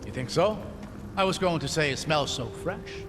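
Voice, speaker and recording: A man playing a gentle, bookish scholar in a video game, articulate, curious and earnest. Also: on the high side, lively intonation, normal speed, natural voice. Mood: curious, enthusiastic. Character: gentle, bookish male scholar, eager and grieving father. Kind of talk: video game cutscene acting. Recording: long cavernous reverb, music behind.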